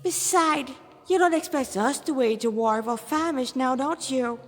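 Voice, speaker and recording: A woman's voice: high-pitched, soft background music, pitched above the performer's own voice. Woman doing a smug, languid male demon, shift+, reverberant. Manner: languid, drawn-out, taunting. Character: vain, smug, mocking male demon warlord with manicured claws. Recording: reverberant echoey room.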